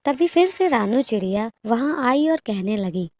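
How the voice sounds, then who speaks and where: Mellow, fairly high woman storyteller. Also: lively intonation, normal speed, dry recording. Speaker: narrator. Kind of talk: scripted children's story narration.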